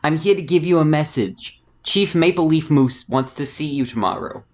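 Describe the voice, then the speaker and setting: A woman playing a dry, unimpressed office secretary in a comedic audio play, speaking in a deadpan, matter-of-fact way. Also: sits low, normal speed.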